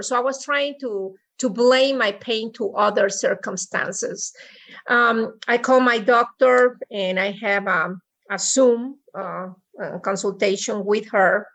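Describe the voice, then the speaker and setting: A middle-aged woman from Mexico with a Mexican Spanish accent, a podcast guest telling her story over a remote call, with frequent pauses and restarts. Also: on the high side, a bit bright. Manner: halting, many ums and restarts. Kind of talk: personal story in an interview.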